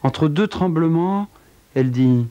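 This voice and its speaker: Guy narrating a grim story, wry and theatrical, bit higher voice, stuffy with a cold. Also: expressive, a little slow, warm tone. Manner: wry, theatrical storytelling with big pitch swings. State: has a cold. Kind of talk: dramatic spoken narration.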